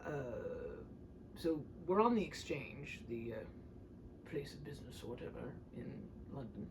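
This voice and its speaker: A woman's voice: a bass voice, measured pace, background noise. A woman reading a storybook aloud expressively, with chatty, casual asides. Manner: animated, chatty when stepping out of the text. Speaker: audiobook reader and host of the channel.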